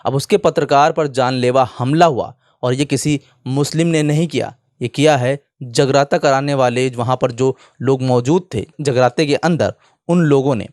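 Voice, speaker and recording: A man's voice: medium pitch, moderate pace, darkish tone, no echo, studio quality. A man presenting opinionated online news commentary in an indignant manner, often posing rhetorical questions.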